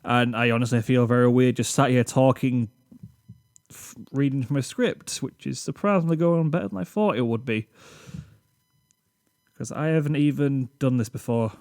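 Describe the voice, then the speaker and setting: A British man speaks at a middle pitch, reading from a script in a rambling, self-deprecating way. He sounds a little awkward, as if unused to reading aloud. The recording is close and dry, made on a desk microphone. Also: moderate pace, darkish tone, no room sound. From Britain. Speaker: YouTube channel host. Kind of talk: scripted channel reflection.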